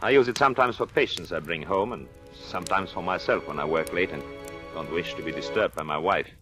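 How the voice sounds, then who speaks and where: An actor playing a calm, controlling psychiatrist in an old-time radio thriller, educated, polite and commanding. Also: male voice, sits low, a little fast, over music, lightly acted. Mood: courteous, controlled.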